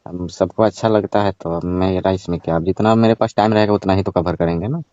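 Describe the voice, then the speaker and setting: Man playing low-voiced cheeky hustler, muffled call. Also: fairly even intonation, a little fast, dark tone, lightly acted. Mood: matter-of-fact. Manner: matter-of-fact, teasing, evasive. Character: cheeky, money-minded village ice-cream seller and gigolo. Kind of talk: staged phone-call skit, casual Hindi chat. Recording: dull, lo-fi call recording.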